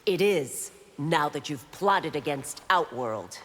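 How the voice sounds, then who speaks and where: Stern warrior constable, cavernous reverb. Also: female voice, sits low, animated, slow pace, a bit bright, exaggerated voice. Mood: stern, defiant. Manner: formal, firm, authoritative. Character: stern, dutiful female martial-arts warrior constable. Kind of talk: video game character dialogue. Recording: long hall-like reverb.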